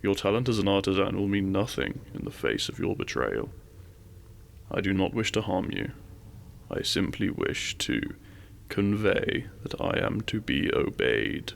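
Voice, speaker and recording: A man playing an imperious, possessive dragon king in a romantic fantasy audio roleplay, speaking with formal, commanding courtesy and a gravelly voice. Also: low voice, very animated, a little hiss or hum, full character voice. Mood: commanding, threatening. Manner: formal, commanding, deliberate menace with seductive courtesy.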